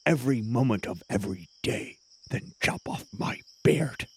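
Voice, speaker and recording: A man playing a proud, pious bearded warrior in armor, speaking earnestly and solemnly in a husky voice for a tabletop game. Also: medium pitch, lively intonation, normal speed, darkish tone, heavily acted. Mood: defiant. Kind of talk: tabletop roleplay acting.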